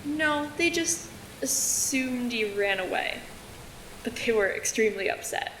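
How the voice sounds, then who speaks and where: A young woman acting as a storyteller in an audio drama, with a creaky voice. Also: fairly high pitch, animated, measured pace, a bit bright, a little hiss or hum, low-quality recording. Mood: measured, eerie storytelling. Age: young adult. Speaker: student audio drama actor.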